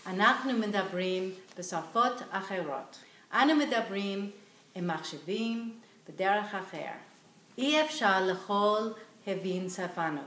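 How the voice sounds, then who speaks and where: A woman reading a short statement aloud in a brisk, rushed way, in an echoey room. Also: mid-range voice, lively intonation, a bit bright, slight background noise.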